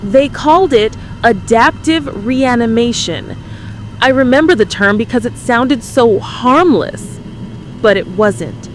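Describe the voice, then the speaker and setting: A woman playing an earnest, fearful conspiracy-believing radio caller with an American accent, halting and insistent. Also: on the high side, lively intonation, faint music behind, poor audio. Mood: convinced, ominous. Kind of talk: dramatised radio call-in testimony.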